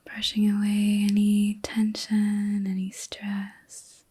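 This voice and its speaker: A woman hosting an ASMR reiki session in a soft, breathy whisper, gentle and soothing, with unhurried pauses. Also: medium pitch, monotone. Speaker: ASMR host and reiki practitioner.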